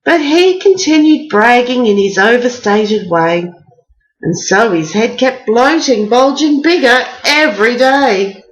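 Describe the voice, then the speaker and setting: A woman's voice: fairly high pitch, expressive, a little slow, rough recording. A woman storyteller reading a rhyming picture book aloud as a bedtime story.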